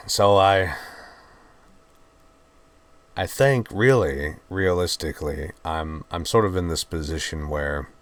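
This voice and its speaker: A man with a mixed Virginian and Georgian Southern accent, hosting his channel and talking in a subdued, halting, heartfelt way. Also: sits low, a bit bright. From Virginia, USA. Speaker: channel host. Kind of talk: reflective personal talk to camera.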